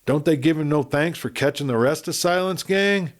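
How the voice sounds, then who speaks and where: A man's voice: a little high, normal speed, voice pushed up, natural voice. A man voicing a worried, tender old rancher father with folksy frontier speech in a western audiobook. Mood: anxious.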